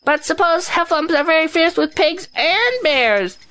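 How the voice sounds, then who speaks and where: A very high, bright squeak of a nervous little male pig, as a woman acts him out. He frets and stammers over every worry and tips into a panic at the least scare. He belongs to a storybook audio drama. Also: lively intonation, moderate pace, heavily acted. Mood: fretful. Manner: stammering, fretful, easily panicked.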